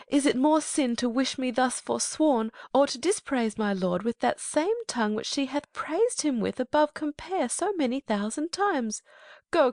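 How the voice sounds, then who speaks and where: A woman's voice: fairly high pitch, no echo, clean studio sound. A woman playing an anguished, passionate teenage noble girl in an impassioned Shakespearean dramatic reading. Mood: bitter, resolved. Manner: pleading, impassioned.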